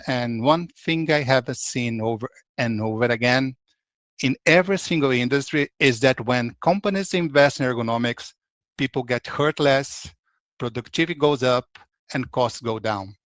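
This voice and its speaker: A man from Brazil with a Brazilian accent, presenting a webinar to an online audience. He talks loosely and conversationally, often breaking off and restarting. His voice sits at a middling pitch and is recorded dry, with no room sound. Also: a bit bright, no echo. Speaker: ergonomics consultant presenting.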